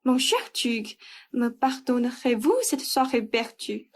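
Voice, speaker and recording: A woman's voice: a little high, slow pace. A young woman playing an imperious, dramatic rich lady of the house in a French radio play, haughty and exclamatory. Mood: dismissive. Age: young adult. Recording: music behind the voice.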